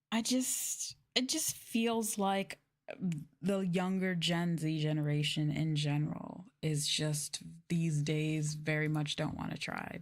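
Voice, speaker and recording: Young female streamer, slightly low pitch, casual ASMR-stream chat, apologetic venting delivery. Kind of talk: casual chatty livestream talk, meant as soft ASMR.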